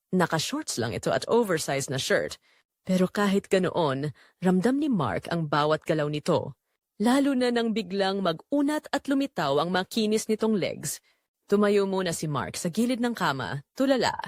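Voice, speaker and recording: A woman's voice: lowish voice, big pitch swings, normal speed, a little mellow, no echo, studio quality. A woman with a Filipino accent reading a scripted dramatic story as the narrator of an audio drama.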